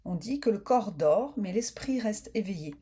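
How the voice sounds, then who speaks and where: A woman yoga nidra teacher guiding a relaxation in a calm, soothing, unhurried way, with slow spoken instructions. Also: medium pitch, breathy edge.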